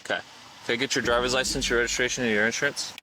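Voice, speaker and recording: A man's voice: mid-range voice, fairly even intonation, very fast, sharp tone, low-quality recording. A man working as a police officer, questioning a driver at a traffic stop, recorded on body camera audio.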